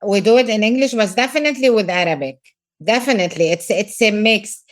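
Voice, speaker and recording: A woman's voice: medium pitch, fairly quick. A middle-aged woman from Lebanon with a Lebanese accent, a business coach talking earnestly in a podcast interview and repeating words for emphasis.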